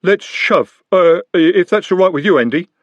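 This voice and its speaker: A man's voice: sits high, lively intonation, normal speed, dry recording, dull audio, voice pushed up. A man voicing a sly, nervous young male thief who hesitates.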